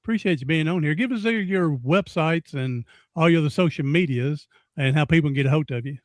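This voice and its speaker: A man's voice: on the high side, a little fast, no room sound, studio quality. A man in his sixties hosting a podcast interview, folksy and rambling, often repeating himself. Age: 60s. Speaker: podcast host. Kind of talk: casual interview chat.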